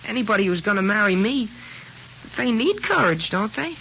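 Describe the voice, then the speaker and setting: A man's voice: high-pitched, big pitch swings, moderate pace, creaky, bright, forceful tone, full character voice. Earnest, indignant teenage boy, muffled recording. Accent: American. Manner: impassioned, boyishly pompous. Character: earnest, indignant, dramatic sixteen-year-old American boy. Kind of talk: 1950s radio drama acting. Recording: muffled, noisy old radio broadcast.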